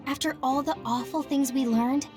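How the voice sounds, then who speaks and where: A woman's voice: sits high, fairly even intonation, moderate pace, over music, close to an everyday voice. A woman playing a determined young soldier in a video game, earnest, emotional and loyal to friends. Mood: resolute. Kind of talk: anime-style video game cutscene acting.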